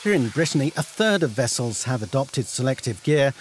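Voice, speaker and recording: A man's voice: medium pitch, sing-song, a little fast, noisy background. A man reading scripted narration as a news documentary reporter.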